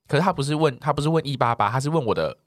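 A talkative man with a Taiwanese Mandarin accent co-hosts a casual podcast. His pitch moves around a lot as he chats, dropping in English words now and then. The recording is close and dry. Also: expressive, rapid-fire, no room sound. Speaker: podcast co-host. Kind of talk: casual chat podcast, reading listener replies.

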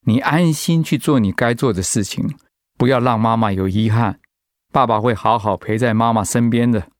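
An actor playing a gentle, steadfast, reassuring middle-aged father in a Mandarin radio drama. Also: medium pitch, normal speed, no room sound, studio quality, lightly acted. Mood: steady, reassuring.

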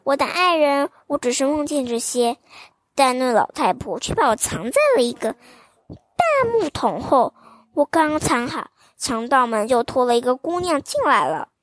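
Lively high-voiced storyteller woman. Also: a little slow, no echo. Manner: sing-song, gentle, child-directed. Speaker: children's storyteller host. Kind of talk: reading a fairy tale aloud to children.

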